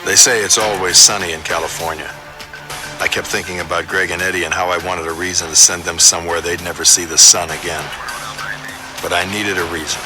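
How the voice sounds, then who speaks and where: An actor playing a brooding, hard-boiled police detective in a terse, deadpan noir voice-over. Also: male voice, deep voice, lightly acted. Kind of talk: noir crime drama voice-over.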